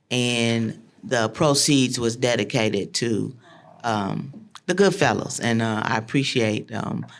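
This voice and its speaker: Gravelly man giving informal remarks. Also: mid-range voice. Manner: informal, rambling with fillers. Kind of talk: public comment at council meeting. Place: city council chamber.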